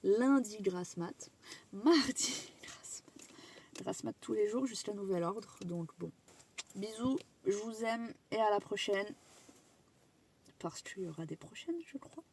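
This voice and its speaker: A woman's voice: mid-range voice, slow, darkish tone, slight background noise. A young woman vlogging in casual chatty talk, rambling and swearing a little, sick and tired. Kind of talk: casual vlog chat to camera.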